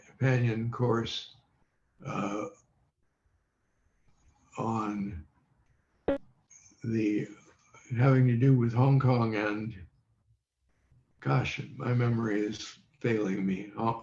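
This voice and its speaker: Slow, halting older man on echoey call. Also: on the low side, gravelly, darkish tone, slight background noise. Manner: halting, searching for words. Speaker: interview guest, former quiz show contestant. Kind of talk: interview conversation on a video call. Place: remote video call. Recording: muffled, echoey video call audio.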